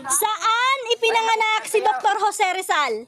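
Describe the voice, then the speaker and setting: A woman's voice: very high-pitched, animated, measured pace, crowd noise. A woman with a Filipino accent hosting a quiz, reading out trivia questions in set formal wording. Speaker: quiz host.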